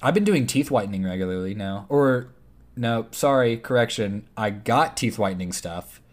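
A man's voice: on the low side, lively intonation, moderate pace. A young man from Texas with a slight Texas twang, a podcast co-host in rambling casual chat. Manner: chatty, rambling, looks things up mid-talk.

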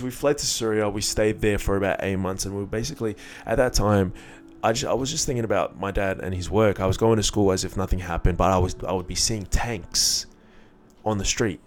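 A young man speaks in a very low-pitched voice with music behind him. His delivery is casual and candid, slipping into slang as he moves between reflection and personal storytelling. He talks informally, as himself. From born in Iraq, moved to Australia as a child. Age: young adult.

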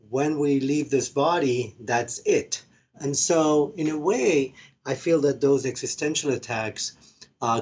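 A man's voice: medium pitch, husky. A middle-aged man from Argentina telling his own story reflectively as an interview guest, often restarting as he thinks. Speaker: podcast guest, meditation teacher and professor. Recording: slightly dull, band-limited sound.

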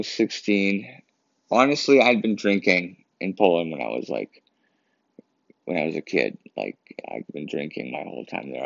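A man's voice: low-pitched, gravelly, no echo, dull audio. A young Canadian man who wears braces, hosting his own podcast and rambling through a casual story. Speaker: podcast host. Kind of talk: casual solo podcast monologue.

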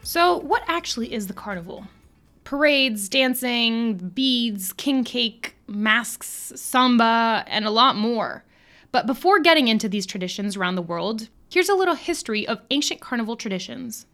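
An American woman co-hosting a podcast, reading prepared history facts in a clear, upbeat way. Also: a little high, expressive, normal speed, fairly bright tone, soft background music. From United States. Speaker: podcast co-host. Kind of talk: scripted podcast narration.

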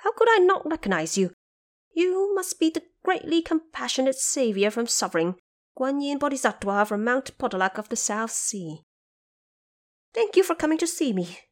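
A woman voicing a trapped male monkey sage in an audio drama, eager and pleading, with a loud, excitable delivery. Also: sits high, normal speed, pitched above the performer's own voice. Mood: excited, grateful.

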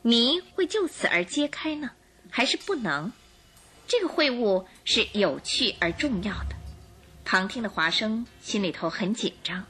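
A woman narrating a radio drama in an expressive, suspenseful storytelling manner. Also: mid-range voice.

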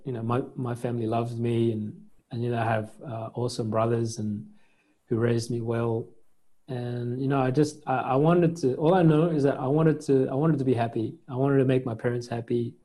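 A young man from Australia talks in a relaxed, halting way, pausing often with fillers and circling back over his words. His voice sits at an everyday pitch with a dark, mellow tone. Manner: relaxed, hesitant, many fillers and restarts, mixes English with Indonesian. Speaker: pastor and singer. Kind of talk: casual interview chat.

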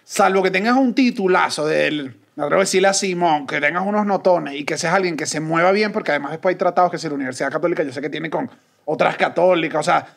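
Gravelly, high-voiced Venezuelan man. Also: fast talker. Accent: Venezuelan Spanish. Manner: animated, blunt, uses slang and swears. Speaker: podcast co-host. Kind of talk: casual chat.

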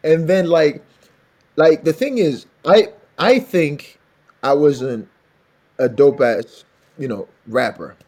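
A man from Benin, West Africa, a singer with a raspy voice, telling stories in an animated way in a casual interview. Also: on the high side, slow pace. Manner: animated storytelling, laughs often. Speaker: singer and songwriter guest.

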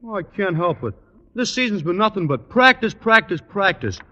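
An actor playing a slow-witted, lanky teenage football player who talks ungrammatically and mangles words, in a 1940s radio sitcom. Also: male voice, high-pitched, very animated, pushed and bright, dull audio, full character voice. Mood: dopey, sheepish. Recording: noisy old radio broadcast.

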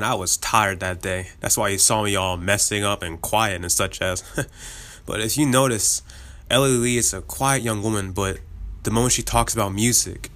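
A man hosting a casual podcast outro, upbeat and chatty, laughing often, a little sick so his voice sits slightly lower. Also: a bit bright. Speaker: podcast host.

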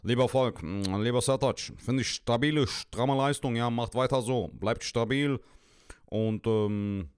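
A slangy, streetwise young guy, as a man puts him on, with a smooth, even voice. It is recorded close in a dry space. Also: mid-range voice, unhurried, no echo, lightly acted. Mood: confused. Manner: slangy, calls everyone "Junge" and "Mann". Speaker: greeting the podcast.

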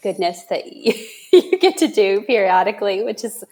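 A woman's voice: medium pitch, moderate pace, a little mellow. Female podcast host from South Carolina, mid-range voice, enthusiastic and chatty, effusive delivery. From Charleston area, South Carolina. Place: podcast studio.

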